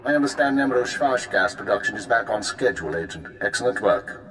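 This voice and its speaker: An actor playing a calm spymaster handler briefing an agent in a video game, with a measured, controlled delivery. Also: male voice, fairly low pitch, a little hiss or hum. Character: calm male spymaster handler giving orders. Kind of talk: video game cut-scene acting. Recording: roomy echo.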